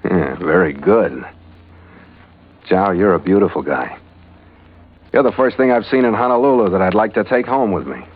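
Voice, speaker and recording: An actor playing a tough, wry American ship captain in a hardboiled 1940s radio adventure, clipped and wisecracking. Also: male voice, sits low, animated, normal speed, pauses often, husky. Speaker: lead actor and narrator. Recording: muffled vintage radio recording with music.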